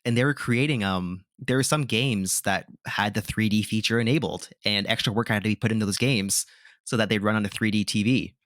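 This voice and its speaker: A man's voice: fairly low pitch, a little fast, fairly bright tone, no echo, studio quality. A man hosting a gaming podcast, talking casually and giving his opinions with lots of filler words. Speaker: podcast host. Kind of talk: casual chat.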